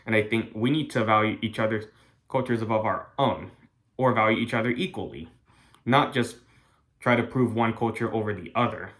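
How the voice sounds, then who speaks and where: Earnest young man, lowish voice. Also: fairly bright tone. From United States. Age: young adult. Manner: earnest, careful, halting delivery. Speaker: college student. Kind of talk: prepared class speech.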